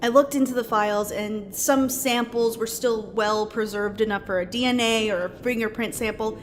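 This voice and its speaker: A woman's voice: fairly even intonation, normal speed, close to an everyday voice. A woman playing a dry, skeptical forensic investigator in an audio drama, with reverb and faint noise. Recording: long reverb, faint low rumbling noise.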